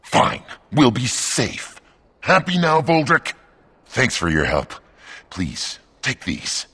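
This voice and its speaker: An actor playing a gruff, excitable fellow in a video game, with a gravelly voice and blustery, folksy manner. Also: male voice, mid-range voice, takes it slowly, heavily acted. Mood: exasperated. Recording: long reverb.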